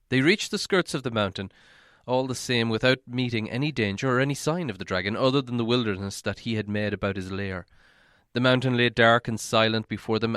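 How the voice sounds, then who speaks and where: A man reading a story aloud as narration, in a measured, dramatic storytelling manner. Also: on the low side, fairly bright tone, no echo, studio quality. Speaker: audiobook reader.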